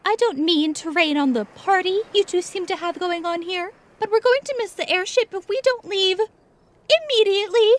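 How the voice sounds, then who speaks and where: A woman voices a bossy teenage girl with a very high, piercing pitch. She is prim and sarcastic, giving orders with authority in an audiobook drama. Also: moderate pace. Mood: impatient, sarcastic. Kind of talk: audiobook fan fiction dialogue.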